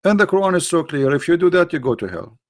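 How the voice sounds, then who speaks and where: A man's voice: fairly high pitch, sing-song, quick pace. A middle-aged man hosting a live stream, lecturing emphatically on religion in non-native English with frequent restarts and reading out viewer questions.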